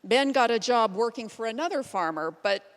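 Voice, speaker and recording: A woman playing a plainspoken pioneer woman recounting her life in a deliberate storytelling way, as a readers theater monologue. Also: on the high side, lively intonation. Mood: matter-of-fact. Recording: echoey hall, long reverb.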